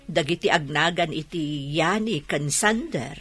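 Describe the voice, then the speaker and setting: A woman's voice: deep voice, lively intonation, measured pace, fairly bright tone. An actress playing a curious, caring older mother figure in an Ilocano radio drama. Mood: curious, fond.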